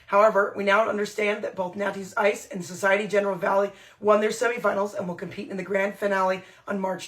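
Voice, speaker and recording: Female podcast host, hoarse, brisk scripted news delivery. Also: mid-range voice.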